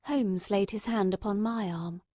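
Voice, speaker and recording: A woman's voice: medium pitch, measured pace, warm tone, no echo, clean studio sound. A woman reading a classic detective story as a scripted audiobook narrator.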